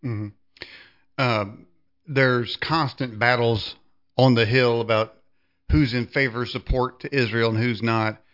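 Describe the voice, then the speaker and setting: A man hosting an interview, asking long conversational questions haltingly as he searches for words. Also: medium pitch, fairly even intonation, highs cut off. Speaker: interview host.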